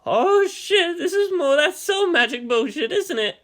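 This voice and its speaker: A woman's voice: extremely high pitch, animated, unhurried, fairly bright tone, pitched above the performer's own voice, exaggerated voice. A woman voicing a nervy, irreverent soldier leading a mech squad, mixing clipped military radio talk with casual swearing. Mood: dismayed.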